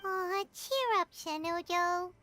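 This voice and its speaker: A woman voicing a small, cheerful floating fairy child with a squeaky, childlike voice in a video game. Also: takes it slowly, sharp tone, soft background music, exaggerated voice. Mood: consoling.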